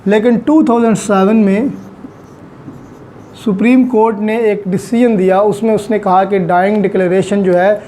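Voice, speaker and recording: Mellow-voiced law lecturer. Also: male voice, high voice, steady tone, normal speed. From Pakistan. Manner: patient, explains step by step with examples, mixes in English legal terms.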